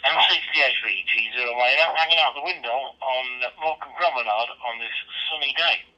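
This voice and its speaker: A very bright, sharp-voiced man comes through dull, band-limited handheld radio audio. He chats casually as a radio amateur, rambling through explanations with plenty of hesitation. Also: mid-range voice, fairly quick.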